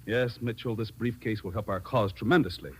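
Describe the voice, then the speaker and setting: An actor playing a formal, grateful government diplomat in a 1940s radio drama. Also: male voice, on the low side, a little fast, breathy edge. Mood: pleased, businesslike.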